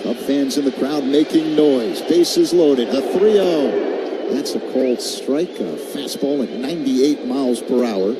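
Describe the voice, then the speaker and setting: A man's voice: medium pitch, a little slow. A man doing live play-by-play baseball commentary for radio. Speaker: play-by-play announcer. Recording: noisy stadium ambience. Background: steady ballpark crowd noise.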